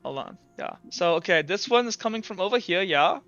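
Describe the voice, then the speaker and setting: A man working as game master, improvising scene narration in a halting way and repeating words as he describes. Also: sits high, expressive, fairly bright tone. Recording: dull audio, faint background music.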